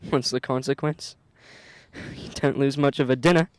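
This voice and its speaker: A man's voice: medium pitch, fairly even intonation, moderate pace, no room sound, close to an everyday voice. A man acting a good-natured, cheerful nephew in a radio play, genial and forgiving.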